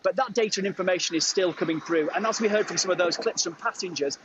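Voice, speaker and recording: High-voiced male correspondent, noisy live report. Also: quick pace. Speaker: transport correspondent. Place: live location report at an airport. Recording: dull audio with clear background noise.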